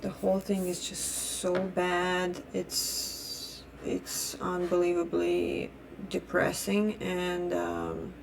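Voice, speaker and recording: A woman's voice: fairly low pitch, flat delivery, slow pace, creaky, a little hiss or hum, poor audio. A young adult woman from Russia, a native Russian speaker, ranting bluntly with heavy swearing and repeated restarts in her phrasing. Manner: blunt, heated and profane. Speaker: vlogger. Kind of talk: opinion rant.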